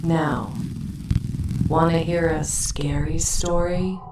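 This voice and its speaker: Woman, spooky story host, slow delivery, heavily echoing, distant from mic. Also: low-pitched, fairly even intonation.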